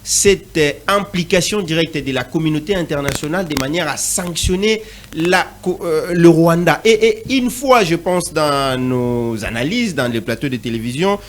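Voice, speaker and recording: A man's voice: on the high side, lively intonation, moderate pace, a little hiss or hum. A man from DR Congo speaking as a panelist in a televised debate, pushing rhetorical questions with growing intensity.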